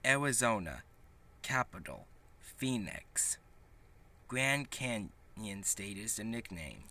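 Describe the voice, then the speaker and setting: A man reading a children's picture book aloud in a halting, deliberate way, with small stumbles. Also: lowish voice, very animated, bright, forceful tone, a little hiss or hum. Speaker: storybook reader.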